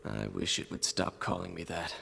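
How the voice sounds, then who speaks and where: An actor playing a weary, dry alien ex-information trader in a video game, with a gravelly rasp and clipped delivery. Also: male voice, low voice, animated, normal speed, bright, forceful tone, a little hiss or hum, full character voice. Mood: dry, guarded. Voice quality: gravelly, rasping.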